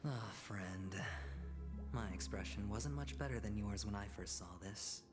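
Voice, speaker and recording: A man playing a sly, smooth-talking schemer in a video game, deliberate and insinuating in manner. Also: on the low side, close to an everyday voice. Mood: sly, confiding. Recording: game audio with music underneath.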